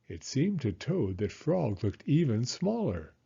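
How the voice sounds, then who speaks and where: Expressive, husky middle-aged male storyteller. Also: medium pitch, a little slow, dull audio. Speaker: children's storyteller, elementary school teacher. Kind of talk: expressive children's story narration.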